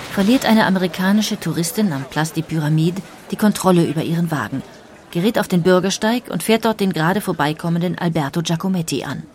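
A woman narrating a scripted biographical documentary in a measured, precise way. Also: on the low side, darkish tone, breathy edge.

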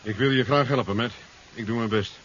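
An actor playing a thoughtful, self-assured male scientist in a 1970s radio drama, explaining in a deliberate manner. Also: mid-range voice, fairly bright tone, close to an everyday voice. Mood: resolute, helpful. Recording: muffled radio recording with faint hiss.

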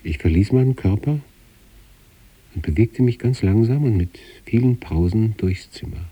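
An introspective male patient recounting uncanny experiences. His voice is very deep and dark, with a husky grain. He narrates in a measured, deliberate literary radio manner. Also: lively intonation. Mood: calm, absorbed.

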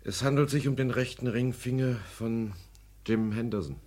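An actor playing a stern, regretful police detective in a German crime radio play, speaking in a measured, formal way. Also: male voice, mid-range voice, a little mellow, close to an everyday voice. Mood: matter-of-fact. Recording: husky-sounding vintage radio play recording.

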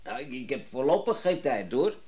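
A man's voice: medium pitch, very animated, moderate pace, warm tone, slightly rough. An actor playing a grumpy, stubborn older tradesman in a Dutch radio drama, blunt and sardonic. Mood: grudging.

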